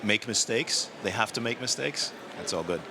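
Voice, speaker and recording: A man answering interview questions as an industry guest, relaxed and thinking aloud with ums. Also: lowish voice, normal speed, noisy background. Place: conference studio.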